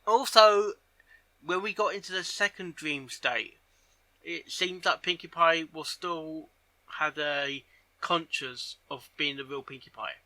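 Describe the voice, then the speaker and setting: A man giving casual reaction commentary on a story, halting and often repeating his phrases as he goes. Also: fairly high pitch, bright, forceful tone, dry recording, low-quality recording. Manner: halting, repeats and rephrases himself. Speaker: reaction video host.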